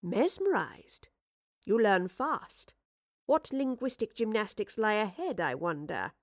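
A woman's voice: mid-range voice, very animated, takes it slowly, no room sound, clean studio sound. A woman voicing a bold, witty female professor and adventurer with an arch, genteel, commanding manner in an audio drama. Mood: incredulous, tart.

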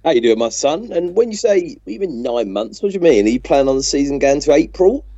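A man's voice: on the high side, big pitch swings, fast, dark tone, no echo. A British man co-hosting a casual sports podcast in a laid-back, matey, colloquial way. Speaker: podcast co-host. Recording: dull, slightly lo-fi microphone.

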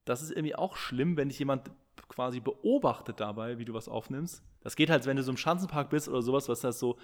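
Man co-host, mid pitch, rambly and filler-heavy, talking into a podcast mic. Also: quick pace. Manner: rambling, many fillers and restarts. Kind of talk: casual chat. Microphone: podcast microphone.

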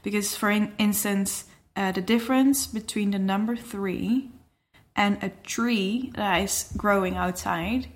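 A woman's voice: mid-range voice, a little slow. A young adult woman with a Dutch accent, chatting casually and laughing often, with many fillers. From Netherlands. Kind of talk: casual chat.

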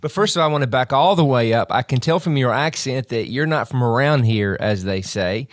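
A man's voice: mid-range voice, animated, brisk, no echo, studio quality. A man hosting an interview, asking curious, friendly questions. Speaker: podcast host. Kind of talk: conversational interview.

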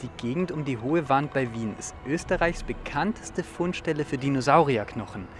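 A man acting an eager, excitable young dinosaur enthusiast in a youth radio play, explaining things dramatically. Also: mid-range voice, moderate pace, a little hiss or hum, close to an everyday voice. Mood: excited. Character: eager, excitable young male dinosaur fan named Michel. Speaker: actor in an educational audio drama.

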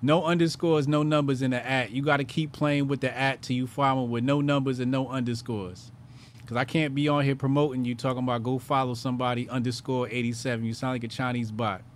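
A man hosting a call-in show, bantering loudly with callers, laughing and swearing in slang. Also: medium pitch, steady tone, a little fast, a little hiss or hum.

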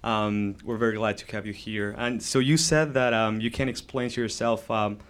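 A man's voice: on the low side, steady tone, moderate pace, a bit bright. A young adult man from Argentina, a graduate student, politely asking a speaker a question with some hesitation. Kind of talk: audience question.

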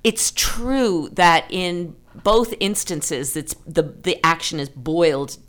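A woman's voice: fairly low pitch, takes it slowly, a bit bright. A woman hosting a casual literary discussion, explaining her ideas with emphasis. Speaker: host of a fiction discussion podcast.

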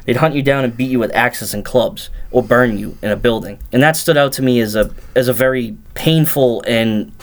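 A man who explains things at length on a podcast, laying out background in long, detailed runs. His pitch sits in the middle for a man. He also reads passages aloud from a book in an even, conversational way. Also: moderate pace, hardly any pauses. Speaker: podcast co-host. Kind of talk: casual discussion and reading aloud from a book.

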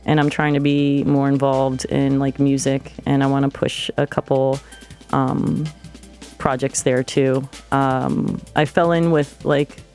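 A woman's voice: a bass voice, little pitch movement, takes it slowly, a little mellow, faint music behind. A woman from Florida, a DJ guest in a casual radio studio interview, talking hesitantly with many fillers and restarts. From Florida, United States.

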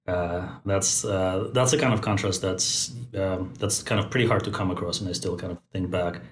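A man in his forties, born in Moscow and raised in Central Asia, speaks thoughtfully as a podcast guest, pausing often to find his words. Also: low voice, fairly even intonation, slight background noise. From born in Moscow, raised in Tajikistan. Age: 40s. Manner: thoughtful, many fillers and restarts. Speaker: guest, company founder. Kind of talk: podcast interview. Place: Bay Area.

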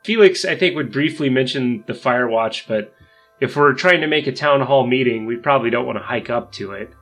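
A man improvising in-character talk in a tabletop roleplaying game, measured and thinking aloud. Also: mid-range voice, fairly bright tone, soft background music.

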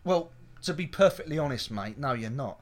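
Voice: medium pitch, expressive, normal speed, natural voice. An actor playing a polite but offended restaurant customer in a comedy sketch, plain-spoken and indignant. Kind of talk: adult comedy sketch acting.

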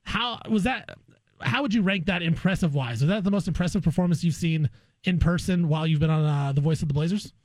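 Informal man hosting sports radio, higher-pitched voice. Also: fairly quick, no echo. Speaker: guest host of sports radio show. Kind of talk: conversational sports radio interview.

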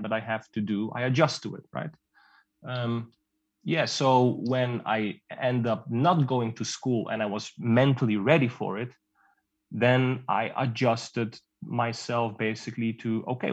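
Rambling Dutch-raised man, dull lo-fi sound. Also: mid-range voice. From Netherlands. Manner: rambling, many fillers and restarts. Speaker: guest concept artist. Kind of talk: casual interview talk.

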